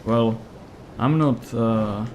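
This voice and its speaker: A man with a Czech accent plays a game live and chats with viewers. His voice is dark and mellow, and his English is halting as he searches for words in real time. He talks casually throughout. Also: mid-range voice, dull audio, slight background noise. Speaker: game streamer. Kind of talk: casual live gameplay stream, answering chat.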